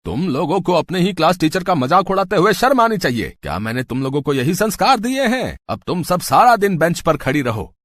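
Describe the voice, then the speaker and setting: A man's voice: a little high, big pitch swings, brisk, few pauses, a bit bright, no room sound. A man playing an earnest, fretful school staff member in a cartoon story, speaking emphatically and simply. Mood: scolding.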